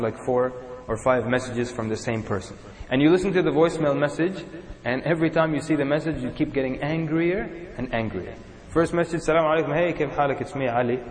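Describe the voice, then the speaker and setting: A man from Sudan, preaching a sermon to a live audience and acting out little examples as he goes. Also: mid-range voice. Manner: animated, acts out examples in little voices. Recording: echoey hall with long reverb.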